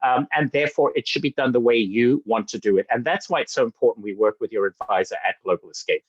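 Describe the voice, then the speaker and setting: A man from Zambia giving an upbeat sales presentation, talking eagerly with frequent fillers and quick restarts. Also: mid-range voice, slightly rough, no echo, highs cut off. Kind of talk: enthusiastic webinar presentation.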